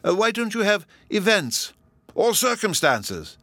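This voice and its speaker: A man's voice: high voice, sing-song, measured pace, sharp tone, no room sound, pitched above the performer's own voice, exaggerated voice. A man voicing a mild, cheerful scholarly professor in a storybook, musing and courteous.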